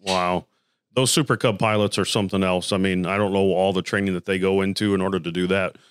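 A man hosting a casual interview podcast, relaxed and admiring as he reacts to his guest. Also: sits low, fast, slight background noise. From Arizona. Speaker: podcast host.

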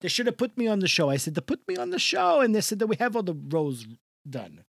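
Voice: medium pitch, big pitch swings, fast talker, vocal fry, no echo. Someone doing a gushing, swooping old man. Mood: boastful. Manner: broken English, theatrical swoops up and down, bursts of laughter. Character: older male Italian guest Mister Del Rossi, boastful, gushing, scatterbrained. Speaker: recurring character guest. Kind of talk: improvised comedy bit.

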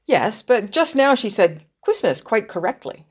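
An actress playing a practical, sceptical editor with a dry, pointed and slightly exasperated manner. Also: female voice, medium pitch, sing-song, unhurried, husky. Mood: dry scepticism. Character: sceptical, practical female editor critiquing a story.

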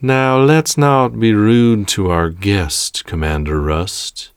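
A man's voice: lowish voice, steady tone, no echo, studio quality. Man playing a silky, condescending young villain. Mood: calm menace. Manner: smooth, drawn-out, condescending.

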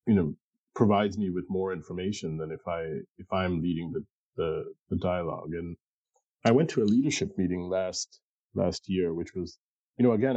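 Middle-aged man, husky low voice, halting reflective interview talk, dull recording. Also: dark tone, no echo. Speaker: pathologist, interview guest. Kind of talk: casual, reflective interview conversation.

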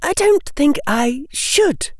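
A man voicing a clever, defiant young schoolgirl, brisk and unimpressed. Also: very high voice, sing-song, no room sound, clean studio sound, voice pushed up, heavily acted. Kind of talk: audiobook character voice.

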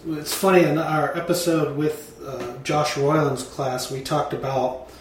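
A man's voice: fairly high pitch, fairly even intonation, measured pace. A man co-hosting a podcast, curious and direct, asking his guest pointed questions. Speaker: podcast co-host. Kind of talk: casual podcast chat. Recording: very echoey room, faint noise.

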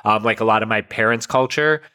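A man's voice: lowish voice, brisk, bright, forceful tone, smooth. A man in his late thirties from the United States, explaining his ideas in a thoughtful, casual podcast chat. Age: late 30s.

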